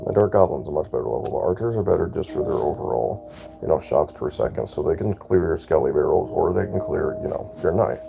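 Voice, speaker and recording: Laid-back raspy guy talking through his game stream, real low voice, music going behind him. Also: animated, fast, dull audio. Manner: laid-back, chatty, reads out chat comments. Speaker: gaming streamer. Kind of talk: casual live gameplay commentary.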